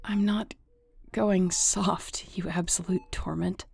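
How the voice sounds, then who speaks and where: A woman playing a surly, protective werewolf alpha in an audio roleplay, with a gruff, blunt, dry manner. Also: lowish voice, steady tone, takes it slowly, darkish tone, full character voice. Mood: tender, wry. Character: surly young female werewolf alpha, guarded, fiercely protective.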